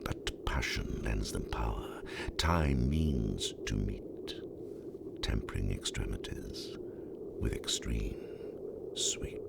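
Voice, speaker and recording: An actor playing a solemn chorus in a Shakespearean audio play, with whispery, gravelly verse narration. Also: male voice, extremely low pitch, lively intonation, slow pace, exaggerated voice. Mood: solemn, hushed. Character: solemn chorus narrating a tragic love story. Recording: audio drama with loud background noise.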